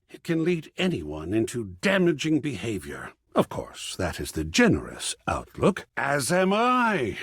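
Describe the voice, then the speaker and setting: A man voicing a flamboyant, pretentious male gallery curator in a video game, passionate and theatrical, with a gravelly, husky voice, savoring his words dramatically. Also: mid-range voice, no room sound, studio quality, exaggerated voice. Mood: earnest, reflective. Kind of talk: theatrical game character dialogue.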